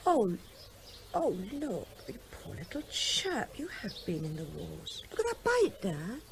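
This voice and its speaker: A woman's voice: mid-range voice, wildly swooping pitch, measured pace, vocal fry, background noise, exaggerated voice. An actress playing a vet's grown daughter in a radio play, a young British woman who is breezy, teasing and tender with animals. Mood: tender, curious. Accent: British English. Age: young adult. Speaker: actor in a radio drama.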